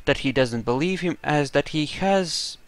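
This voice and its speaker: Man, casual playthrough commentary, unhurried pace, halting delivery, dry close sound. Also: fairly high pitch, expressive, no echo. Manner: halting, thinking aloud. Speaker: gameplay narrator.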